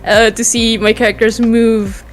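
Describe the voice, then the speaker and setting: A man with a light, high-pitched voice, speaking informally and with youthful slang as a guest in a casual interview. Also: flat delivery, measured pace, a bit bright. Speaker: interview guest, webcomic artist. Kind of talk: casual chat. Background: background music.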